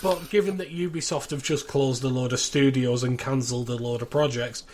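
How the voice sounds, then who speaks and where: A man's voice: medium pitch, steady tone, a little fast, darkish tone. A man hosting a casual panel chat about a show. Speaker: podcast host. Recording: clear background noise.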